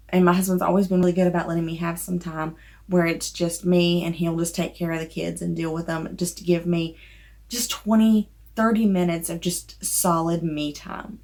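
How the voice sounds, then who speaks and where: A Southern woman in her thirties talks straight to the camera in a loose, rambling vlog style, with a fairly low-pitched voice. A slight difficulty with the letter R surfaces now and then, and she comes across candid and a little self-deprecating. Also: a little mellow. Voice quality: mild trouble saying R. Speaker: novelist vlogger. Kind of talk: casual talk to camera.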